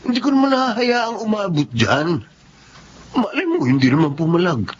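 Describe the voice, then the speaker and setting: Casting: menacing, lecherous lowlife man for radio drama; wildly animated delivery, dull noisy sound. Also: normal speed. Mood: brash, leering. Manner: brash, leering, threatening. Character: menacing, thuggish, lecherous brother, a drug-using troublemaker. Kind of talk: Tagalog radio drama acting. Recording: muffled audio with background noise.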